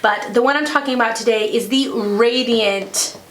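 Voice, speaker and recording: Woman, middle-aged, beauty vlogger, raspy with a cold, chatty review style, echoey indoor room, faint background noise. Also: a little high, moderate pace. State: getting over a cold. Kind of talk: chatty product review to camera.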